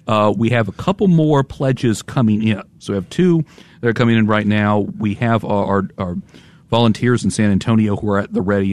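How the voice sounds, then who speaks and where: A man co-hosting a radio fundraiser, chatty and quick to laugh while he reads out pledge details. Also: lowish voice, a little mellow. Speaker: radio host. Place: radio studio.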